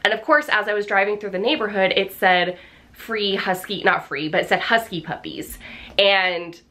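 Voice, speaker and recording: A woman in her thirties chatting to a desk camera in her home office, her voice bright and forward. She sounds slightly hoarse, and she talks in an upbeat, rambling way with lots of fillers. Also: mid-range voice, normal speed. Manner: chatty, upbeat, many fillers and little laughs. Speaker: vlogger. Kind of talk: casual vlog chat. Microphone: camera on the desk facing her.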